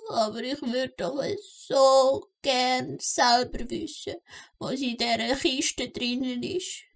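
A woman acts a kindly elderly gnome grandmother in a children's radio play. She speaks Swiss German in a creaky voice and takes her time over every sentence, like someone telling a story. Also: high-pitched, slow. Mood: wistful, caring.

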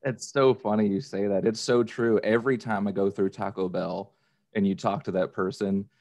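American man, fairly low voice, relaxed podcast chat, easygoing storyteller. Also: moderate pace. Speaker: podcast participant. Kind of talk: casual chat. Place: remote video call from a room indoors.

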